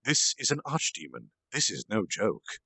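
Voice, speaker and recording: A man's voice: fairly low pitch, huge pitch swings, moderate pace, gravelly, sharp tone, no room sound, full character voice. A man voicing a brash, wary young devil with a blunt, challenging manner in a storybook reading. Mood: grim.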